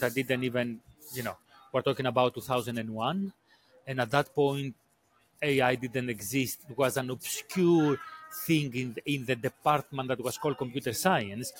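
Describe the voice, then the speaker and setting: A man from Greece with a Greek accent, a podcast guest telling his career story in a halting way, often repeating words and restarting sentences. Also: mid-range voice. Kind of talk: casual interview storytelling.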